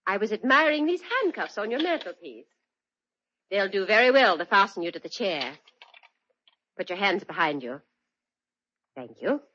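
A woman's voice: sits high, very animated, normal speed, a bit bright, a little hiss or hum. Mrs Frampton, gushing lady gunwoman. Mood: menacing. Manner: fluttery and giggling, then cold and threatening. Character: gushing society lady who turns armed villain. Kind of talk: 1940s radio acting. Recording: dull lo-fi old radio recording.

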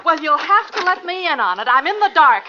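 A woman's voice: high voice, very animated, a little fast, sharp tone, full character voice. An actress playing a resentful young wife and mother in a naturalistic drama, exasperated, argumentative and defensive. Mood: indignant. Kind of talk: naturalistic dramatic acting of a marital quarrel. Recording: dull, lo-fi old recording with noise.

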